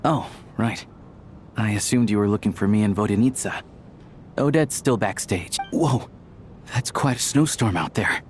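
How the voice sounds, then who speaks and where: A man's voice: on the low side, moderate pace, a little hiss or hum, natural voice. An actor voicing a friendly, capable young male theater worker in a game cutscene, easygoing and helpful.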